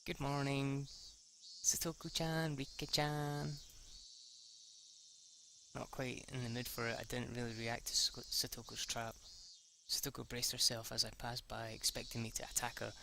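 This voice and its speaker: A man reading visual novel narration aloud for a let's play, a bit halting, with sweary asides. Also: mid-range voice, completely flat delivery, vocal fry, noisy background. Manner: halting, stumbles over words, sweary asides.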